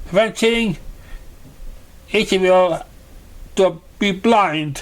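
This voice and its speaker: A man's voice: sits high, slow pace, voice pushed up. A man voicing a grand, congratulatory magical contest master in a storybook reading, proclaiming with theatrical praise. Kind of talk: storybook character voice in fan fiction reading.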